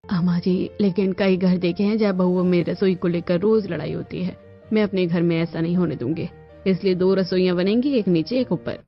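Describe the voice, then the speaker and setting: A determined middle-aged mother from a cartoon family story, acted by a woman. Her voice sits low and she insists on her plans. The sound is dull, with music underneath. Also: brisk, lightly acted. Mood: firm, insistent. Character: determined middle-aged Indian mother planning separate kitchens. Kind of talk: Hindi cartoon story dialogue.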